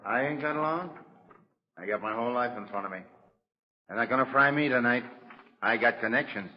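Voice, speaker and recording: An actor playing a cocky, hard-boiled American gangster on death row in 1940s radio drama, sneering and taunting in slangy tough talk. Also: male voice, medium pitch, fairly quick, natural voice. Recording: muffled old radio recording with long reverb.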